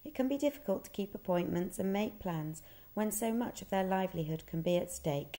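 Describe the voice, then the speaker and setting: A woman reading scripted documentary narration in a calm, measured way. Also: mid-range voice. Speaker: narrator and museum project worker.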